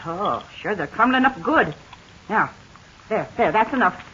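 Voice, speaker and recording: A brisk, homespun motherly woman tends a fevered patient in a 1940 radio serial. Her talk is fussing and practical. The transcription is muffled with a faint hiss. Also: medium pitch, animated, a bit bright. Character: kindly motherly older woman, practical nurse. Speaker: Mrs. Donovan. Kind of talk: 1940s radio acting.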